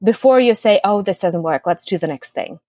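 A woman's voice: medium pitch, animated, brisk, mellow, no echo. A young Czech-born woman with a mid-range voice whose first language is Czech, speaking in a friendly, easygoing way and laughing often as a podcast guest. The recording is dry and close. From Czech Republic. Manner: friendly, laughs easily, uses "you know" a lot. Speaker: business coach, guest. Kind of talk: casual interview chat.

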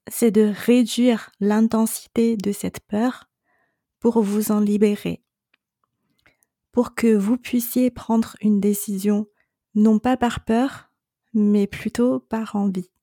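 Slow, mellow-voiced woman coach. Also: mid-range voice, no echo, studio quality. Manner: calm, reassuring, speaks directly to the listener. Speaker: separation coach and podcast host. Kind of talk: solo coaching podcast monologue.

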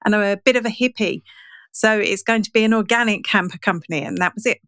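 A woman's voice: mid-range voice, quick pace, a bit bright, no room sound, clean studio sound. A woman speaking as a podcast guest, telling her story in a casual, conversational way. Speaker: podcast guest, digital marketing trainer.

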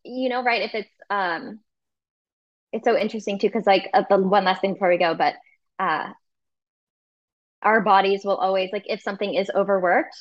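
A woman guest chats eagerly on a podcast, filling her sentences with likes and ums. Her audio is slightly dull and muffled, dry and close, and her pitch sits mid-range. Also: steady tone, moderate pace, long pauses, no room sound. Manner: warm, enthusiastic, uses "like" and "um" a lot. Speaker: guest, sound healer. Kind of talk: casual podcast interview.

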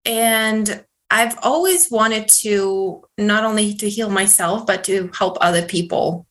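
A middle-aged woman from Russia, a neurofeedback practitioner, answering interview questions in an earnest, measured way with many pauses. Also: medium pitch, fairly bright tone.

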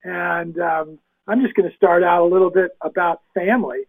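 A man's voice: high voice, fairly even intonation, moderate pace, vocal fry, phone-quality sound. A man hosting a webinar podcast interview, upbeat and chatty, talking over a video call. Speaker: podcast host.

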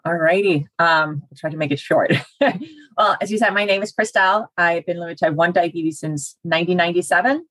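Woman in her 40s, low husky voice, dry close sound, chatty and organized. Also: brisk, fairly bright tone, no echo, poor audio. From Denmark. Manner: structured and chatty, laughs now and then. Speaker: guest, personal trainer. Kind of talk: podcast interview.

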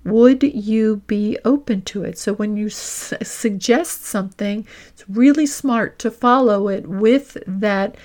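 A woman's voice: mid-range voice, slow pace, darkish tone. A woman working as a career coach and host, explaining directly to the listener in an emphatic, instructional way, with role-played examples.

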